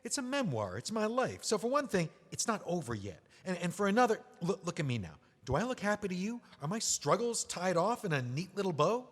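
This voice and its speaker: A man's voice: high voice, very animated, brisk, few pauses, big-room sound. A man playing a bitter drunk widower. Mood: defensive. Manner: agitated, slurring tipsy bluster. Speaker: playwright reading a part. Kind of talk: live staged reading of an audio play.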